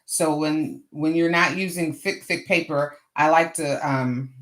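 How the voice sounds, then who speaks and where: A middle-aged woman with a hoarse voice, hosting a casual crafting livestream and chatting to viewers as she works. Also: low voice, fairly even intonation, measured pace. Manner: chatty, greets viewers warmly and often. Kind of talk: casual live craft chat.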